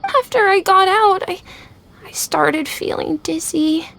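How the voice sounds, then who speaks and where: A woman's voice: extremely high pitch, takes it slowly, creaky, fairly bright tone, a little hiss or hum, exaggerated voice. A woman voicing a sick, frightened little boy in a game cutscene, tearful and pleading. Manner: small, whimpering and pleading. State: feverish and tearful. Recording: game cutscene with faint music.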